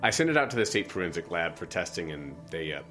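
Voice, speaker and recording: An actor playing a weary, reluctant small-town lawman in an audio drama, speaking in a measured, hesitant, plain-spoken way. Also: deep voice, sing-song, a bit bright, soft background music. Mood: grim, explaining.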